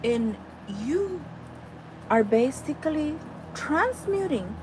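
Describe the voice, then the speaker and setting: A woman's voice: high voice, slow pace, background noise. A woman from El Salvador with a Salvadoran Spanish accent, a native Spanish speaker, teaching about spiritual healing and talking straight to her listeners. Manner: animated, addresses listeners directly. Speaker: spiritual healer.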